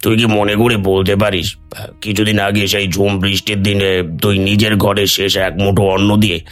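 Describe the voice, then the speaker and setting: Guy doing a stern village headman, low monotone, grave, cartoon story, bit of music. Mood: grave, probing. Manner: measured, grave, interrogating. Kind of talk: animated story dialogue.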